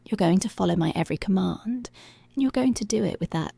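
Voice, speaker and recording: A woman playing a dominant, menacing policewoman in a teasing, seductive ASMR roleplay, commanding and drawn-out, often slipping into whispers. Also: mid-range voice, lively intonation, vocal fry, warm tone, slightly rough, no room sound, heavily acted. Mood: possessive, teasing. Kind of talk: intimate ASMR roleplay, slow and breathy.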